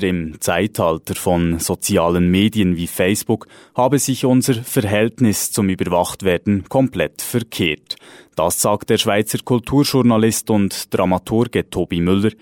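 A man reading scripted radio news in a measured, even way. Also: lowish voice, no room sound, studio quality.

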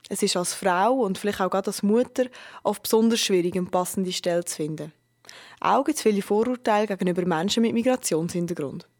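A female radio reporter, reading a scripted news feature in a measured, even way. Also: mid-range voice.

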